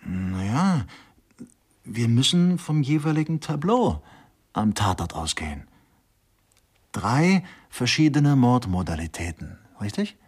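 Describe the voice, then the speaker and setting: A husky, medium-pitched male voice with lively inflection, playing a bookish junior investigator in a radio mystery. He is earnest and likes to lecture. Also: slow pace, long pauses, exaggerated voice. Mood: pedantic. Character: pedantic male assistant detective, bookish criminology buff. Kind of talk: German radio crime drama acting.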